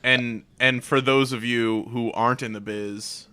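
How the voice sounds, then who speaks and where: A man's voice: mid-range voice, fairly even intonation, a little slow, sharp tone, smooth. A man co-hosting a podcast in casual banter, wry and playfully sarcastic. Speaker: podcast co-host.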